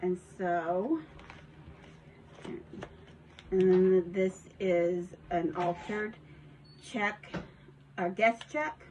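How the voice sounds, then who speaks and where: A woman's voice: fairly low pitch, drawn-out words, darkish tone, a little hiss or hum, low-quality recording. A woman with a Southern accent chatting casually while showing off a handmade journal in her home craft room, rambling with restarts and little giggles. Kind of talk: casual show-and-tell vlog. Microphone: phone.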